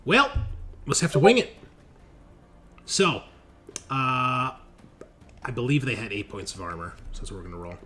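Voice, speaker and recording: A man's voice: medium pitch, sing-song, normal speed, pauses often, pushed and bright, a little hiss or hum. A man running a tabletop game as game master, informal and sweary, thinking aloud as he goes. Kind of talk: casual tabletop game refereeing. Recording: voice over constant music. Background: music.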